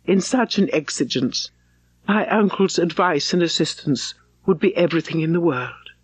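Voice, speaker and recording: A woman voicing an anxious, gentle young gentlewoman in a refined, sorrowful, earnest manner, as in an audiobook of a classic novel. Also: fairly low pitch, lively intonation, moderate pace, slightly rough. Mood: distressed, pleading.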